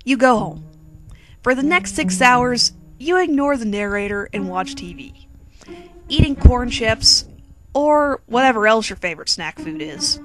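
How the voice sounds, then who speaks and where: A woman reading a comic second-person story theatrically, with sassy, bossy asides to the listener. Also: fairly high pitch. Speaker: narrator reading own humorous fan fiction. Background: quirky music bed.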